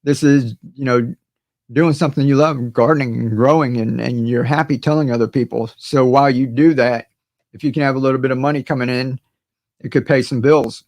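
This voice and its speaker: A hoarse man hosting a gardening channel, chatting casually and rambling to his viewers with many fillers and repeated words. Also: medium pitch, steady tone, brisk, darkish tone, dry recording. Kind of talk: casual livestream chat to viewers.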